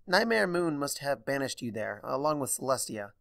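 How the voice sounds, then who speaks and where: A man's voice: mid-range voice, big pitch swings, normal speed, gravelly, a bit bright, dry recording, studio quality. A man voicing a serious young female pony princess, earnest and exasperated, in a fan fiction reading. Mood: explaining.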